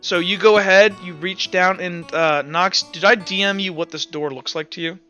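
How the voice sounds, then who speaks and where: A young man with a high, bright voice runs a tabletop roleplay game. He talks casually, halting and restarting often, with faint music playing behind him. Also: steady tone, highs cut off. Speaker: game master.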